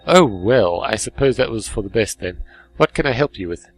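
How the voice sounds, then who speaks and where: A man voicing a polite, sensitive robot from a game in a courteous, formal, gently earnest manner. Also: lowish voice, expressive, normal speed, poor audio. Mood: obliging.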